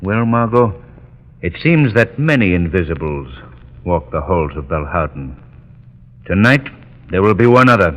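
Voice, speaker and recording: An actor playing a suave, composed gentleman sleuth, polite and understated in a 1930s radio mystery style. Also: low voice, sing-song, a little slow, pauses often. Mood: pensive, resolved. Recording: dull, hissy 1930s radio recording.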